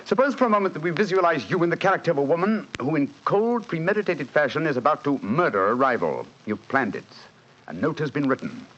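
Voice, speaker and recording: A man acting a brilliant, self-assured English detective in a 1940s radio drama, lecturing crisply and logically in a British accent. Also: fairly high pitch, sing-song, fast, fairly bright tone. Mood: insistent reasoning. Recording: dull, hissy 1940s radio recording.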